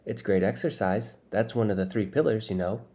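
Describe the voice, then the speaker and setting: A man's voice: fairly low pitch, a little fast, warm tone, natural voice. A man voicing an eager, slightly wistful boy who is easily tempted, in an audiobook story. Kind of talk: acted audiobook dialogue.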